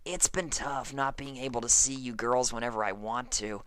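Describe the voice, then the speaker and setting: A woman acts out a young cartoon mare who flies for the air force. She is cocky and excitable, boastful and quick to defend herself, recorded close and dry. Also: extremely low pitch, no echo. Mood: casual. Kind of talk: cartoon fan fiction audio reading.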